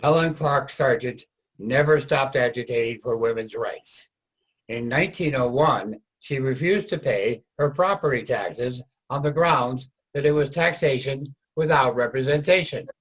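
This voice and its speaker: A man with a slightly husky voice narrating a scripted readers' theater piece. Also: medium pitch, unhurried, rough recording.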